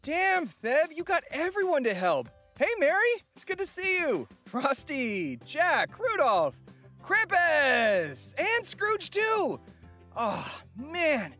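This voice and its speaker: A man voicing an excitable, wide-eyed producer character in an audio comedy, exclaiming in amazement. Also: very high voice, slow pace, hardly any pauses, faint music behind, pitched above the performer's own voice, full character voice. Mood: amazed excitement.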